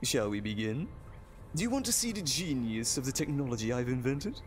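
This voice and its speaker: An actor playing a sadistic, gloating evil doctor in an audio drama, theatrical and menacing with a gravelly voice. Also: male voice, medium pitch, animated, a little fast, natural voice. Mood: proud, sinister. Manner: theatrical, gloating, swooping between croons and outbursts. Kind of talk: audio drama villain acting.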